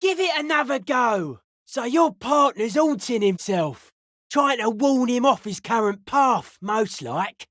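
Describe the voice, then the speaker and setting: A young counterculture club kid for a video game. He talks slowly in a slangy, deadpan drawl, earnest and easily spooked. The sound is dry, with no room around it. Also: extremely high pitch, no room sound, exaggerated voice. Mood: focused, wary.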